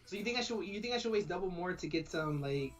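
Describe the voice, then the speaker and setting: Fast-talking man in casual podcast banter. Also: high-pitched, expressive. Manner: fast, repeats and restarts, slangy. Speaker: podcast co-host.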